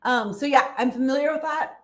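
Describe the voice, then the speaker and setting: A woman's voice: sits high, roomy sound. A woman hosting a livestream Q&A about nutrition, explaining casually with fillers and small laughs while her voice is a bit froggy. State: froggy voice, clearing throat and coughing. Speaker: nutrition channel host answering livestream questions.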